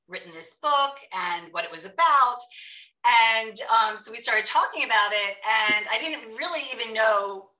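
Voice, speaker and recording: A woman hosts a book club, her voice bright and edged. She sits back from the mic, so the room's echo wraps her chatty, storytelling talk. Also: medium pitch, far from the mic. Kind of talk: casual interview hosting. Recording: echoey room, voice from off mic.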